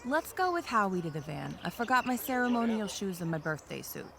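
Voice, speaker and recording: An actress playing a playful, easygoing free spirit at a festival in an audio drama. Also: female voice, mid-range voice, very animated, fairly quick, creaky, a crowd in the background.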